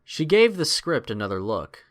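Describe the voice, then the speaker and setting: Male narrator, dramatic scripted storytelling, animated intonation, mid-pitched. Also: moderate pace.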